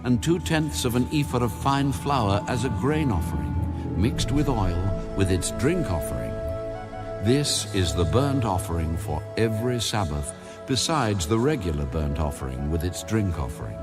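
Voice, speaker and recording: A man with a lowish voice reads scripture formally, in a measured and solemn audiobook style. Music plays clearly behind his narration. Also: sing-song. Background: background music.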